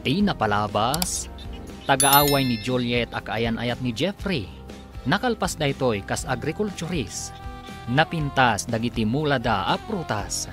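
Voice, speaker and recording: A man's voice: mid-range voice, animated, unhurried. A man with an Ilocano accent announcing and narrating a radio drama from a script in a dramatic style. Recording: prominent music behind.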